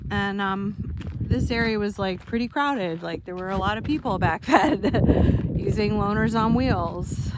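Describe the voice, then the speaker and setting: A woman's voice: medium pitch, very noisy. A woman in her fifties, vlogging while walking outdoors and reminiscing in a rambling way, often correcting herself. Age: 50s. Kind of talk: casual walking vlog. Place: outdoors in the desert.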